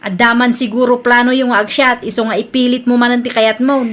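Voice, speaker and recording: A woman's voice: fairly high pitch, fairly quick, natural voice. A woman playing an anxious young village daughter who pleads with worry in her voice. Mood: worried. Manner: worried, pleading. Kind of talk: audio drama acting. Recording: rain and ambience noise behind. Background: steady rain.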